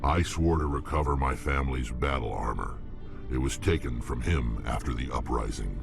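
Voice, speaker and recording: An actor playing a hardened, blunt male alien mercenary warrior with a gruff, world-weary manner, in a video game. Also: rumbling low, normal speed. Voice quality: gravelly. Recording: game audio with music behind.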